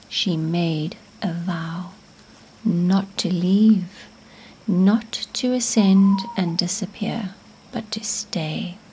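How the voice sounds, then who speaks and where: A woman narrating a guided meditation in a gentle, soothing, hushed manner, with phrase endings fading toward a whisper. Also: medium pitch, slightly rough, low-quality recording.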